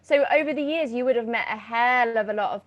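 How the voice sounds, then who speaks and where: A woman's voice: on the high side, quick pace, muffled recording. A woman hosting a casual interview, putting questions in an informal, conversational way. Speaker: interviewer, host.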